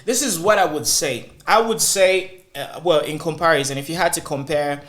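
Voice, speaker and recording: A Zambian man hosting a casual discussion show, talking in an animated, chatty way and thinking aloud as he goes. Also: on the high side, moderate pace. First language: Lozi. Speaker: host.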